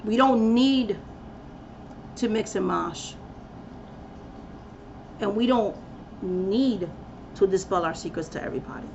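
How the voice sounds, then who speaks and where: A woman's voice: mid-range voice, slow, pauses often, a little mellow, muffled recording, slight background noise. A woman giving a passionate, preachy talk to camera, emphatic and blunt as she rallies her listeners. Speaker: spiritual practitioner giving a talk. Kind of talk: impassioned personal rant to camera.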